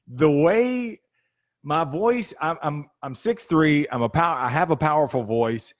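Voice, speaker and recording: A man's voice: on the high side, animated. A man hosting a podcast, talking in a casual, reflective way with frequent restarts. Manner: conversational, halting restarts, reflective. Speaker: podcast host. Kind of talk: casual interview chat.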